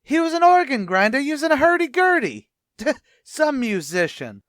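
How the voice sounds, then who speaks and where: A blustery, self-important tavern manager, voiced by a man, in old-time radio comedy style. His wisecracks leap all over the pitch range. The recording is close and dry. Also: very animated, moderate pace, fairly bright tone, no room sound, heavily acted. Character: boastful wisecracking male tavern manager, adult.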